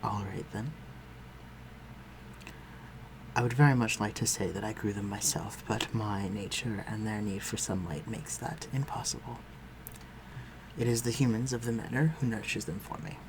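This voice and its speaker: Man playing a low-voiced, courtly vampire lord. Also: steady tone, normal speed, natural voice. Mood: gentle, attentive. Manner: formal, old-fashioned, tender and reassuring. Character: courtly, protective male vampire lord, gentle with his human. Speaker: voice actor. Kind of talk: dramatic audio roleplay addressed to the listener. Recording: clearly noisy, ambient sound behind voice.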